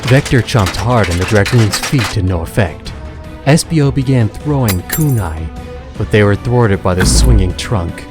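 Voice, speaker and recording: Low-voiced male storyteller over music. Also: lively intonation, a little slow. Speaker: narrator. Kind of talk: scripted dramatic storytelling narration.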